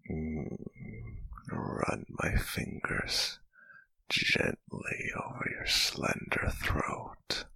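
A man playing an adoring, sensual lover in a whispered intimate roleplay, breathy and murmuring tenderly close to the mic. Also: pushed and bright, dry recording, full character voice, poor audio. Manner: slow, tender murmuring with lingering hums. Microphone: close mic, dry.

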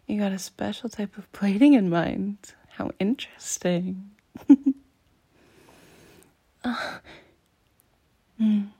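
A woman in an intimate adult audio roleplay, speaking in a breathy, teasing near-whisper with frequent sighs. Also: mid-range voice, warm tone, no room sound. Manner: slow, intimate, teasing, sighing.

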